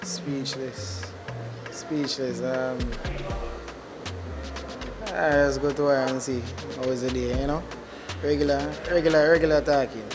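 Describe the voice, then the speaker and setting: Mid-pitched man answering casually. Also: steady tone, a little slow, long pauses, over music. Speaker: vox pop interviewee.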